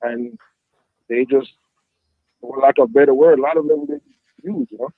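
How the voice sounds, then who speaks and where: A man's voice: mid-range voice, moderate pace, no echo. A man from Jamaica with a Jamaican accent, a youth football coach answering interview questions reflectively, with many "you know" fillers. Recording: dry tinny phone-like line.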